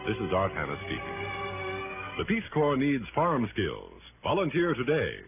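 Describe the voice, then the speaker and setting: Animated male vintage radio announcer. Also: medium pitch, a little slow. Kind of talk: scripted 1960s radio announcing and credits. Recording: muffled 1960s radio broadcast with music.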